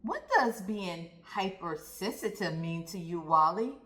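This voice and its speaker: A woman's voice: on the low side, expressive, a little slow, a bit bright. Woman voicing eager, lilting schoolchildren, echoey room. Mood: curious, eager. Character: curious, caring schoolchildren eager to understand a friend. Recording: roomy, echoey sound.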